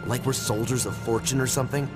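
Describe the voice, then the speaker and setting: A man voicing an earnest young game superhero. He is hesitant and questioning at a middling pitch. Loud music runs behind him. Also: fairly even intonation, quick pace, natural voice. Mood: uneasy doubt. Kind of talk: video game cutscene acting.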